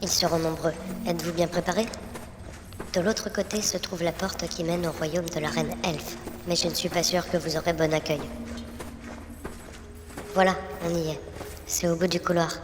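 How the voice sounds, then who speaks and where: An actress playing a wary, commanding elf sorceress and warrior in a fantasy audio drama. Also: female voice, lowish voice, fairly even intonation, moderate pace, long pauses, noisy background, natural voice. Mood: wary, urgent. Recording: long cave-like reverb under loud music.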